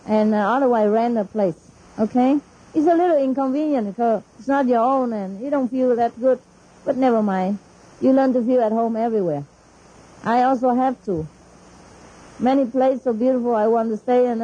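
A woman's voice: fairly high pitch, mellow, slightly rough, dull audio. A middle-aged woman with a Vietnamese accent, a spiritual teacher giving an informal, chatty talk to her disciples, laughing often between her phrases.

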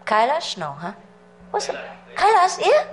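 A woman's voice: high-pitched, huge pitch swings, a little slow. A middle-aged woman from Vietnam, a spiritual teacher telling stories to a meditation group in a chatty way, often laughing and asking her listeners questions. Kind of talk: storytelling talk to a meditation group.

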